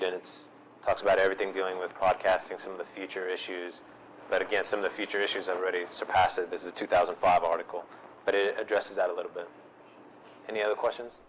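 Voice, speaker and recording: Low-voiced man presenting a workshop. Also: little pitch movement, fast, slight background noise, low-quality recording. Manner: easygoing, repeats himself with ums. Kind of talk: answering audience questions. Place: seminar room.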